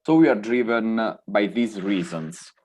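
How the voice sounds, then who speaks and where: A man's voice: lowish voice, expressive, a little slow, a little mellow. A man in his twenties from Italy, hosting an online event and switching easily between English and Italian. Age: 20s. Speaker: event host.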